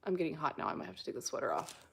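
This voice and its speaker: An American woman on a casual live stream, reading out viewer comments and answering them in a relaxed, unhurried way with plenty of fillers. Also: low-pitched, creaky. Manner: reads viewer comments aloud.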